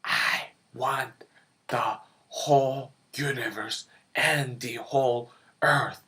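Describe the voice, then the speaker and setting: An amateur performer plays a devil puppet hungry to rule everything. The delivery is very slow and boastful, at an ordinary middle pitch. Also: fairly even intonation, bright, forceful tone, breathy edge, full character voice. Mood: greedy. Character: power-hungry devil puppet bent on ruling the universe. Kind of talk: amateur puppet show acting.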